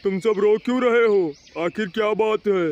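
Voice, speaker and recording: A man voicing a stern, exasperated father crow in an animated moral story, scolding and insistent. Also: high voice, normal speed, lo-fi sound, slight background noise.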